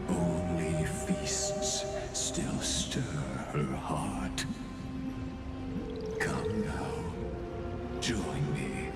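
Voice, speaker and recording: A man playing a grave, foreboding figure in a video game, speaking in an ominous, drawn-out, theatrical way. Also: a bass voice, heavily acted. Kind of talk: scripted video game voice acting. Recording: echoing voice under loud music.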